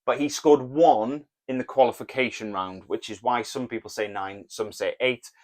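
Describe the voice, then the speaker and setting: A Welsh man co-hosting a casual podcast, talking in a relaxed, plain way and reading out listener messages. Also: mid-range voice, moderate pace. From Wales. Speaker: podcast co-host.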